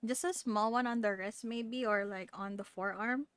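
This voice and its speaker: A woman's voice: on the high side, fairly even intonation, moderate pace, fairly bright tone, clean tone, no room sound. A young woman chatting casually as she streams a game. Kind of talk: casual chat while live streaming.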